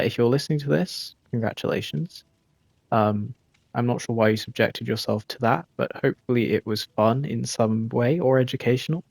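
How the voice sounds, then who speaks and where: A man giving relaxed post-game commentary over his gameplay, laid-back and self-critical, with frequent ums and uhs. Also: medium pitch, vocal fry, dry recording. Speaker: gaming video creator.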